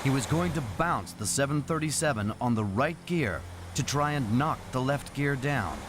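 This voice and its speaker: A man's voice: medium pitch, animated, normal speed, noisy background. A man reading scripted, dramatic documentary narration as the narrator. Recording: loud music under voice. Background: loud background music.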